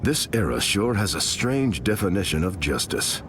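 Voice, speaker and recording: An actor playing a righteous super-soldier hero in a video game, firm and plain-spoken. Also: male voice, deep voice, sing-song, normal speed. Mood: resolute. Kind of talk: video game character voice lines. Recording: long reverb, noisy game ambience.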